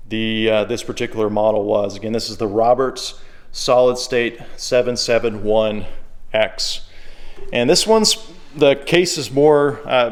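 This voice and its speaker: Easygoing man demonstrating a product. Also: mid-range voice. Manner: easygoing, plain-spoken, thinking aloud as he goes. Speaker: secondhand shop presenter. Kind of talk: casual unscripted product demonstration.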